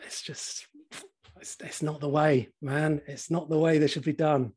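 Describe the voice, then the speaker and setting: Husky, croaky man in his forties. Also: on the high side, flat delivery, measured pace, a little mellow. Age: 40s. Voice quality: slightly croaky. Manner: chatty, laughs often, swears casually. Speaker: podcast co-host. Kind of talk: casual chat.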